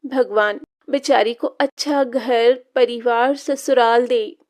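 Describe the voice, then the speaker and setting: A pitying village neighbour played by a woman in a cartoon tale. She laments in a high voice at a slow pace, full of sympathy. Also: fairly even intonation, a little mellow. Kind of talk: Hindi moral-story cartoon dubbing.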